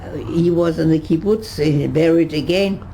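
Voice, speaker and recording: Gravelly, halting woman over 100. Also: deep voice, mellow, a little hiss or hum. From Germany. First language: German. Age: 100s. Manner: halting, many restarts and searches for words. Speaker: interviewee. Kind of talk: reminiscing in conversation. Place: her home.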